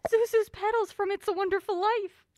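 A woman's voice: very high-pitched, fairly even intonation, normal speed, a little mellow, dry recording. An actress playing a polite, affectionate young niece in an audio drama, eager and curious in her delivery.

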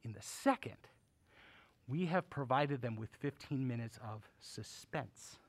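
An actor playing a genial, erudite older uncle and film buff with a measured, theatrical way of talking in an audio drama. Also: male voice, mid-range voice, expressive, no echo. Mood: lecturing, dramatic.

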